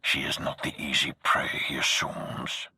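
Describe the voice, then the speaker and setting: An actor playing a grave, vengeful spirit in a video game, with a raspy, forceful voice and solemn, declamatory delivery. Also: male voice, a bass voice, level tone, a little slow, dry recording, heavily acted. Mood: grave warning. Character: grave, vengeful male spirit urging destruction of a tyrant. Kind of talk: video game character acting.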